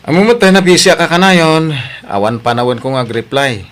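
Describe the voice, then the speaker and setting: A man's voice: fairly high pitch, animated, normal speed, fairly bright tone. A man with an Ilocano Filipino accent playing an arrogant, newly rich vlogger who talks brashly and dismissively in an audio drama.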